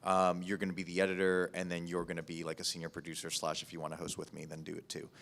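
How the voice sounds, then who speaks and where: Man, deep low voice, podcast producer, casual panel talk, conversational, informal. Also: monotone, fast talker, gravelly, a bit bright.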